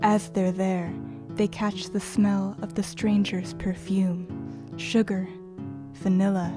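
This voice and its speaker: A woman playing the narrator of a dating game in an audio drama, reading scripted narration in a deliberate, theatrical way. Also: medium pitch, flat delivery, lightly acted. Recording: music under the voice.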